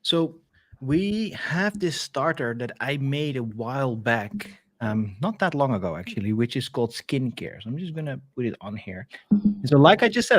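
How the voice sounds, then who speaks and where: A man with a Dutch accent casually walking through a software demo on a live stream, chatty and full of fillers and restarts, heard over a video call. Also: medium pitch, no room sound. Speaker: developer advocate co-hosting a stream. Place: home office, video call.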